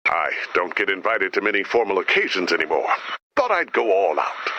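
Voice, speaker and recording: A man's voice: sits low, sing-song, fairly quick, pushed and bright, muffled recording, slight background noise, heavily acted. A man voicing a fussy, flustered robot director in a video game, earnest and fretful about safety. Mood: satisfied. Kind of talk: video game character dialogue.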